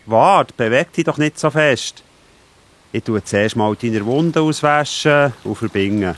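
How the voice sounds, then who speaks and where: A man's voice: medium pitch, a little slow, lightly acted. A man playing a kind, reassuring Samaritan traveller in a children's audio drama, with a Swiss German accent. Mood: concerned. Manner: caring, reassuring. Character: kind, calm Samaritan traveller tending the wounded.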